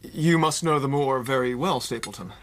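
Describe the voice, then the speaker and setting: An actor playing a confident, sceptical young lord of the manor in a radio drama, easy and courteous in manner. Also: male voice, mid-range voice, normal speed, a bit bright, lightly acted. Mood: genial.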